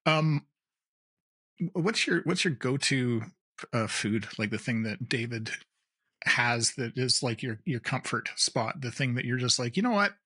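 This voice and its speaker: A man's voice: mid-range voice, expressive, a little slow, sharp tone, husky. A man hosting a podcast, chatting casually and asking playful, lighthearted questions. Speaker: podcast host. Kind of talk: casual conversation.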